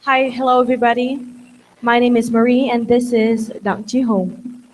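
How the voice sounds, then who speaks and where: Woman mediator at a conference, chatty and quick, kinda high voice. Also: flat delivery, a little mellow, a little hiss or hum, poor audio. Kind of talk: moderating an onstage interview. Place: conference room.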